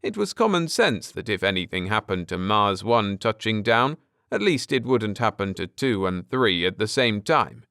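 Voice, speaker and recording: A man reading a science fiction story aloud as an audiobook narrator, in a measured, deliberate, dramatic manner. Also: on the low side, sing-song, bright, forceful tone, clear, resonant tone, no room sound, studio quality. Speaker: audiobook narrator and channel host.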